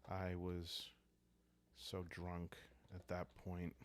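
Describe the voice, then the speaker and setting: Soft asmr guy doing solo rpg storytelling, deep voice, super slow, long pauses, calm. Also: slight background noise. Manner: calm, unhurried, thinking aloud with long pauses. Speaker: host playing a solo journaling roleplaying game. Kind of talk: soft-spoken ASMR narration, improvising a story aloud.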